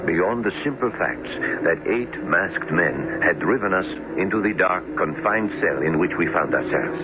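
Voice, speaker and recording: A man narrating a radio drama in a formal, articulate, dramatic storytelling manner. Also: low-pitched. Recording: noisy, muffled lo-fi radio recording.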